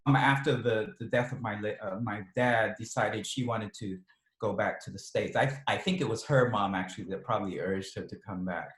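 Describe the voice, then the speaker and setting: A middle-aged man with a mixed Ghanaian, British and Californian accent, telling stories as an author guest in a relaxed interview, laughing often and restarting his sentences. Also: fairly low pitch. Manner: chatty, laughs often, many restarts and ums. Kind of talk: relaxed storytelling in a video interview. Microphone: video call. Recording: echoey room on a video call.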